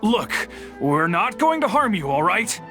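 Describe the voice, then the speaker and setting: A man's voice: high-pitched, sing-song, measured pace, sharp tone, faint music behind, heavily acted. A man playing a short-tempered, easily flustered young samurai guard in a video game. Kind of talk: video game character acting.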